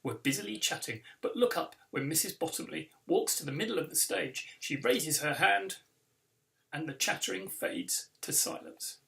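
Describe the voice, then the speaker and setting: British guy reading a kids book out loud, expressive, bright voice w some gravel, little far from mic. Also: a little high, moderate pace. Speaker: author reading own book. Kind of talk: expressive children's storybook reading.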